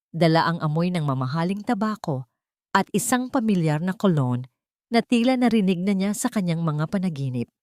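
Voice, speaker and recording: A woman narrating a scripted dramatic story in a solemn, deliberate, melodramatic way. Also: on the low side, darkish tone, no room sound, clean studio sound.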